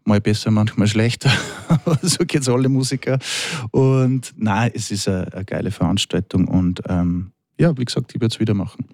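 A man in his thirties with an Austrian accent, a musician guest talking in a relaxed, rambling way with many fillers. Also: on the low side, moderate pace, no echo, clean studio sound. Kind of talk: casual interview talk.

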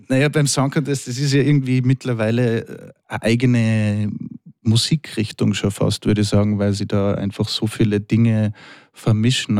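A man in his thirties with an Austrian accent, a musician guest talking in a relaxed, rambling way with many fillers. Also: on the low side, no echo, clean studio sound. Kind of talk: casual interview talk.

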